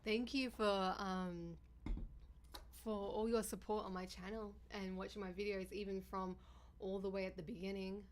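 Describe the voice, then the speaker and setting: A woman with a deep, raspy voice, chatting casually with viewers on a livestream. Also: flat delivery, normal speed, a little hiss or hum. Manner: chatty, bubbly, thanks viewers often. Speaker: livestreamer and YouTuber.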